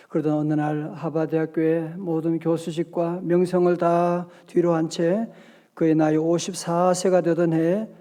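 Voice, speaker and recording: A man's voice: on the high side, dark tone. A middle-aged male pastor preaching a sermon, telling a story and acting out its dialogue in quotes.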